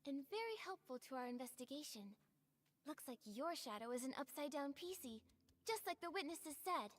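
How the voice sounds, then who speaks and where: Gentle, high-voiced young heroine. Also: female voice, lively intonation, a little fast. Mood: encouraging. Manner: polite, soft, earnest. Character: Nikki, gentle polite young girl heroine investigating. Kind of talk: game voice acting.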